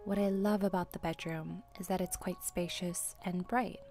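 A woman narrating a tour of her own home in a calm, scripted voice-over. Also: on the low side, moderate pace, soft background music. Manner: calm, even, tidy tour-guide delivery. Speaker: homeowner presenting a house tour.

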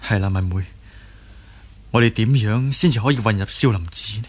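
An actor in a radio drama playing an earnest, forthright young martial-arts hero with a husky voice. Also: male voice, fairly low pitch, normal speed, close to an everyday voice. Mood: earnest, playful. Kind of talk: Cantonese radio drama acting.

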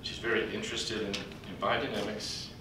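An older man speaking at a podium in a large conference hall, introducing a colleague in a folksy, halting way with pauses. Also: level tone. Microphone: podium mic, recorded from afar by a computer. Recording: distant echoey hall recording with background rumble.

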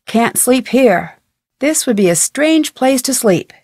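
An actress playing a plucky, curious young detective who perkily talks to herself in a video game. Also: female voice, on the high side, lively intonation, slow, darkish tone, dry recording, clean studio sound, heavily acted. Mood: matter-of-fact. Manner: perky, crisp, self-talking. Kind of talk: video game character lines.

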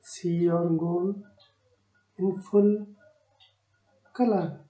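Woman, meditation guide, slightly low pitch, soothing phrases, long pauses, roomy echo. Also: steady tone, dark tone. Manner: slow, soothing delivery with long pauses between short phrases.

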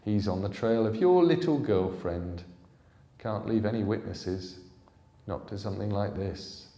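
A man's voice: on the low side, measured pace, warm tone. An assured storybook henchman, put on by a male reader, taunts and threatens with confidence. The words echo around a hall. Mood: smug, threatening. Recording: echoey hall, public reading.

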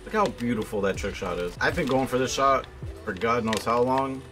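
A man's voice: medium pitch, moderate pace, over music. A young man giving casual gameplay commentary in a slangy, informal, conversational way. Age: young adult. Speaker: gaming video host. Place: indoor room at home, gaming setup.

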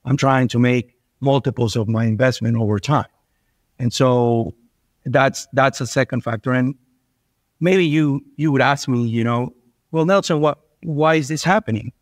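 A man's voice: medium pitch, moderate pace, no echo, clean studio sound. A middle-aged man from Venezuela, a guest investor on a podcast, talking things through with frequent restarts and repeated words. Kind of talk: conversational podcast interview.

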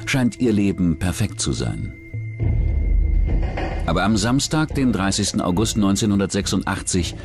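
A man narrating a scripted true-crime documentary in a measured, matter-of-fact manner. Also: sits low. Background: background music.

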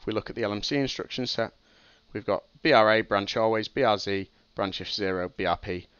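A man's voice: on the low side, brisk, a bit bright, clean tone, no echo. Young male streamer, sniffly from a cold, loose think-aloud teaching, dry and slightly muffled home mic. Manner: thinking aloud, many ums and restarts. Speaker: streamer teaching game programming. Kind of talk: casual live-stream explanation.

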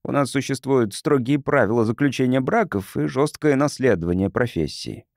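A man's voice: mid-range voice, expressive, moderate pace, creaky, darkish tone, dry recording, clean studio sound. A man voicing a proud, guarded planetoid councillor in an audiobook, formal and explanatory. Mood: stiff, earnest. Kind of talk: audiobook character reading.

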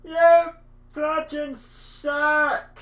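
A young man voicing a rude, taunting boy who yells insults at him, pitched up high. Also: level tone, extremely slow, echoey room, far from the mic, exaggerated voice. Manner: yelling insults.

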